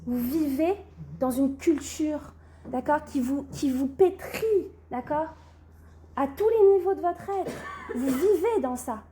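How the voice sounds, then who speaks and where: High-voiced woman teaching a class, with a cold. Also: lively intonation, takes it slowly, warm tone, a little hiss or hum. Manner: questions the class, then answers, often saying "d'accord?". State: has a cold. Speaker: religious teacher. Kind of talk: recorded Torah class.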